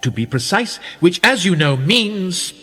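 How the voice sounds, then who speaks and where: An actor in a radio play voicing a worldly, powerful establishment insider, speaking in a pedantic, suavely condescending way. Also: male voice, sits high, big pitch swings, measured pace, sharp tone, full character voice. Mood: dry storytelling. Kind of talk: radio drama dialogue. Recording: long reverberant hall, music underneath. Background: music.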